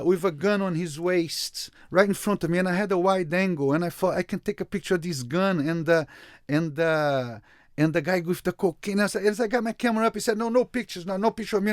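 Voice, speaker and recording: A man from Brazil, a photographer and interview guest, telling a story in an animated way, often repeating words and restarting his sentences. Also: sits high, dry recording, studio quality.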